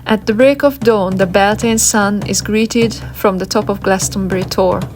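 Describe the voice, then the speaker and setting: Woman doing scripted documentary narration, mid pitch, music playing pretty loud under her. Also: steady tone, moderate pace.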